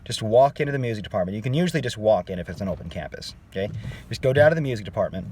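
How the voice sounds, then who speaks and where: A man's voice: fairly low pitch, animated, rapid-fire, a little mellow, a little hiss or hum. Chatty man teaching singing. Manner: conversational, addresses viewers as "guys", frequent "okay?" check-ins. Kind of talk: casual online singing lesson.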